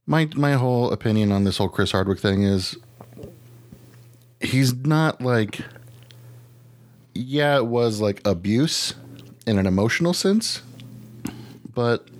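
A man's voice: medium pitch, lively intonation, slow pace, pauses often. A man chatting casually as a podcast co-host, rambling and slangy with casual swearing. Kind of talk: casual chat.

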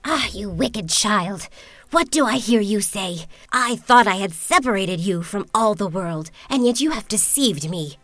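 A woman putting on a loud mocking witch. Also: medium pitch, lively intonation, a little slow, dry recording, soft background music. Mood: furious. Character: wicked scolding old female witch.

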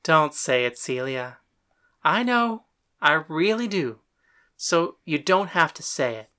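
Woman doing a young woman, heartsick, pleading, soft and earnest, bright tone. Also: deep voice, big pitch swings, takes it slowly, voice pushed down, heavily acted. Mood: dejected.

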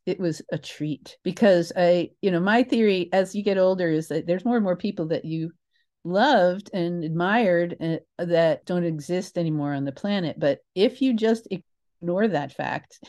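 An older woman telling stories as an interview guest in a casual, animated, emphatic way, often interrupting herself and digressing. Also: lowish voice. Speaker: interview guest, theatre creator.

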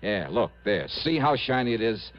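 Low-voiced, animated radio detective. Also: male voice. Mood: accusing, pressing. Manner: pointed, deliberate, dramatic delivery. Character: shrewd, confident hard-boiled male insurance investigator. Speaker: star of a detective radio drama. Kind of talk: 1950s-60s radio drama acting with first-person narration. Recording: muffled vintage radio broadcast, faint music.

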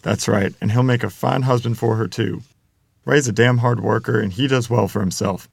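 A man playing a gruff, defensive middle-aged Midwestern father and gambler, with folksy, plainspoken speech in a table-read drama. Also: mid-range voice, steady tone, moderate pace, no room sound. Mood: stubborn, defensive. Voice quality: gravelly, creaky. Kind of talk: table-read screenplay acting.

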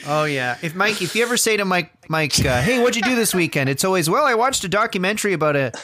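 A man co-hosting a podcast, chatting in an animated, rambling way. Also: sits high, fast, a little hiss or hum. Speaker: podcast co-host. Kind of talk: casual podcast chat.

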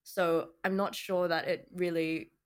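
A young woman with a low contralto voice, co-hosting a casual podcast chat, wry and quick to laugh. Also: little pitch movement. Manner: wry, laughs often, dry quips. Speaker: podcast co-host.

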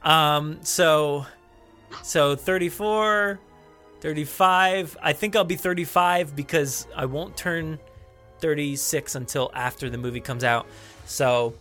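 A man in his thirties hosting a podcast, talking in an excited, rambling way with lots of fillers and restarts. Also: a little high, slow pace, faint music behind. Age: 30s. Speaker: podcast host. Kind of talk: casual podcast chat.

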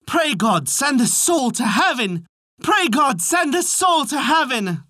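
A storybook narrator voicing a simple-minded little boy who bawls out phrases in a loud, repetitive singsong. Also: high voice, sing-song, slow, dry recording, studio quality, pitched above the performer's own voice, heavily acted. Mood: loud bawling. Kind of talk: storybook folk tale character.

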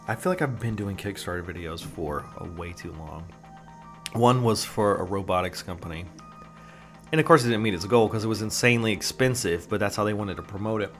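A man's voice: low voice, a bit bright. A man hosting a solo podcast, rambling from tangent to tangent with sarcastic, crude jokes, sometimes chewing while he talks. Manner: joking, sarcastic, tangent-prone, crude humor. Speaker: podcast host.

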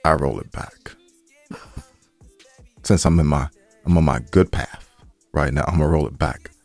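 A man's voice: extremely low pitch, moderate pace, a little mellow, no echo, soft background music. A man co-hosting a podcast in casual, joking banter, playful and repeating phrases for emphasis. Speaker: podcast co-host.

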